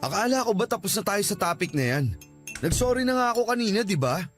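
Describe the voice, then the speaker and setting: A high-pitched young husband and father played by a man, emotive and insistent in a radio drama manner. Faint music runs behind the voice. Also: sing-song, fast, a bit bright. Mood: defensive, irritated. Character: tired, defensive working husband and young father. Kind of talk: Tagalog radio drama acting.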